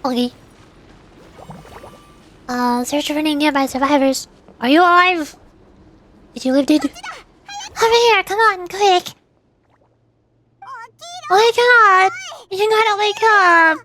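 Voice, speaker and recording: A playful, expressive woman, commentating on a video game livestream as a vtuber. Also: very high-pitched, slow pace, pauses often, slight background noise. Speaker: vtuber streamer. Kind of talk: game livestream commentary, reading game text aloud. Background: game music.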